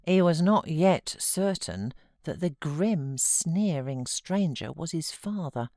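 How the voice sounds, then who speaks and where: A woman with a British accent giving measured, expressive literary narration as an audiobook reader. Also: low voice, no echo, studio quality.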